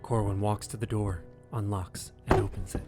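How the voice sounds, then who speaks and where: A man narrating a dark historical audio drama as a solemn, scripted storyteller. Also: fairly low pitch, little pitch movement, moderate pace, over loud music, natural voice.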